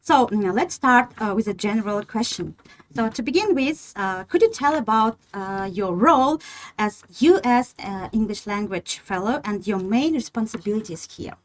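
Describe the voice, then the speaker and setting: A woman's voice: medium pitch, lively intonation, moderate pace. A woman hosting a university podcast, asking questions carefully and hesitantly, with many fillers. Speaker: podcast host. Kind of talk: interview hosting.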